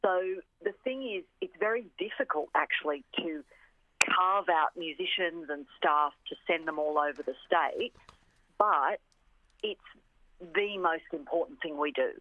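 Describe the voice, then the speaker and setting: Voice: medium pitch, unhurried. Arts spokesperson for radio interview, phone-quality sound, keen explainer who stresses points by repeating. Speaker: orchestra representative being interviewed.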